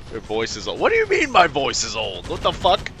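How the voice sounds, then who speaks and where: Lively young man streaming games. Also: a little high. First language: not English. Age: 20s. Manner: swears a lot, laughs often, reacts to chat aloud. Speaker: game streamer. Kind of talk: casual live-stream chat while gaming. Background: background music.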